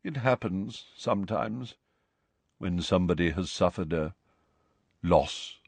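A man voicing a resigned, courtly male ghost in an audiobook, speaking quietly and formally with hesitant, sighing phrases. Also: lowish voice, expressive, unhurried, no room sound. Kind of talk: audiobook character voice.

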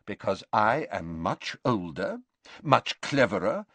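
A man's voice: on the low side, big pitch swings, takes it slowly, fairly bright tone, no room sound, heavily acted. A man voicing a wise old wizard headmaster in an audiobook, firm, solemn and authoritative. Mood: firm insistence. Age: elderly.

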